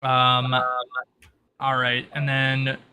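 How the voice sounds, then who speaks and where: A man's voice: mid-range voice, completely flat delivery, very slow, bright, forceful tone, clear, resonant tone. A man acting as game master, explaining options in a hesitant, improvised way over an online voice call. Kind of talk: improvised narration of an online tabletop roleplay.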